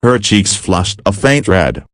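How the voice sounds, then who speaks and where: Male story narrator, lowish register, deliberate scripted delivery, very dry close sound. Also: big pitch swings, no room sound.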